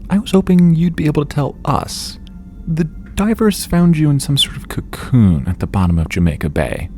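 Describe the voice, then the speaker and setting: A man playing a calm, reassuring scientist hero in an audio drama, talking in a measured way. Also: on the high side, faint music behind. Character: calm, measured male superhero scientist tending a patient.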